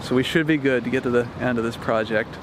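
A man's voice: medium pitch, steady tone, quick pace, noisy background. A man in his forties hosting his own vlog, speaking plainly and earnestly, with ums and restarts. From Ohio, United States. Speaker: channel host, property developer. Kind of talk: casual vlog narration. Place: outdoors beside the barn. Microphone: camera held at arm's length.

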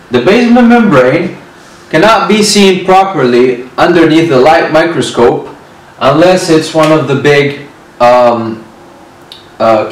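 A man in his twenties giving a whiteboard lecture on histology, speaking slowly and repeating key terms. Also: on the high side. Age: 20s. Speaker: medical lecturer. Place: indoor room at a whiteboard. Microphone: far camera. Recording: very echoey room, distant mic.

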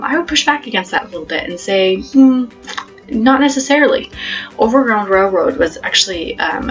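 A woman in her thirties talks casually to camera, explaining things in a hesitant way with thoughtful pauses. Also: mid-range voice, fairly bright tone, low-quality recording. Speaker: homeschooling parent and video host. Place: small plain room at home, indoors.

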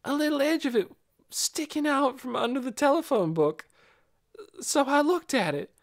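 Woman acting a high-pitched, tearful, pleading teenage girl. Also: lively intonation, moderate pace. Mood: remorseful. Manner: plaintive, uneducated rural grammar.

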